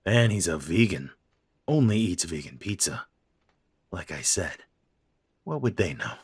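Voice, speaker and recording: A man voicing a gruff middle-aged father in an audio drama, his voice low and rough with a husky grain. He is stiff and clipped, uneasy with his grown son. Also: very animated, a little slow, no room sound, clean studio sound, exaggerated voice. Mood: joking. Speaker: voice actor.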